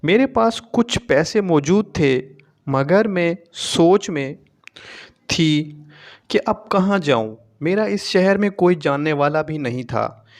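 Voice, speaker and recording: A man's voice: fairly high pitch, expressive, takes it slowly. A man reading a scripted, emotional first-person story as a narrator.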